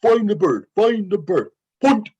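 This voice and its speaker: A man's voice: high voice, animated, measured pace, darkish tone, husky, full character voice. A man playing an eager, simple-minded talking dog in a cartoon script read, with literal, oddly formal phrasing, on a dull lo-fi microphone. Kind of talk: amateur table read of an animated film script.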